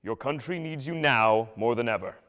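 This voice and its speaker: A man's voice: mid-range voice, animated, normal speed, fairly bright tone, natural voice. An actor playing a domineering, scornful military father in a staged musical, with a commanding, blustering delivery. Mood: grave oratory. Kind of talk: staged musical theatre acting, oratory. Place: live staged reading before an audience. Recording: echoey live stage hall, music behind.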